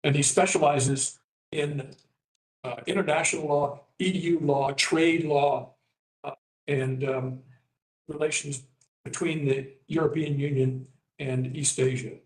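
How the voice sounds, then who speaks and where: An older man who grew up in Taiwan, moderating a panel and introducing speakers in a halting, rambling way full of fillers and restarts. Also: fairly high pitch, steady tone. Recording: echoey room.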